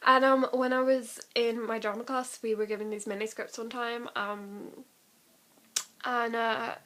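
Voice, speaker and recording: A woman's voice: fairly high pitch, little pitch movement, a little slow, pushed and bright. A young woman in a casual, rambling vlog chat, giggly and prone to laughing at herself as she does impressions. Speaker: amateur vlogger doing impressions.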